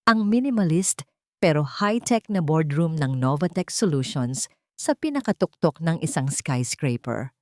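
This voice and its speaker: A woman's voice: low-pitched, a little mellow, no echo, clean studio sound. A woman storyteller giving a dramatic scripted narration of a love story.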